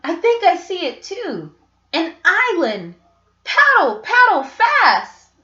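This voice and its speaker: Woman voicing an eager, animated young boy explorer. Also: extremely high pitch, slow pace, pitched above the performer's own voice, full character voice. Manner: excitable, urgent, bossy in play. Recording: roomy, slightly dull home recording.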